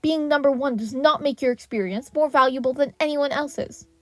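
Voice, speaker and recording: Stern, indignant male teacher, played by a woman narrator; commanding scolding, high-pitched, close dry sound. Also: moderate pace, dry recording. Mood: stern lecturing. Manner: sharp, commanding, lecturing.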